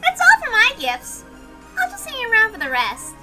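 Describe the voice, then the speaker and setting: A woman's voice: very high voice, wildly swooping pitch, moderate pace, loud music behind the voice, pitched above the performer's own voice, heavily acted. A woman voicing a playful, scheming, childlike witch in a visual novel read-aloud, teasing and exaggerated. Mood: gleeful scheming.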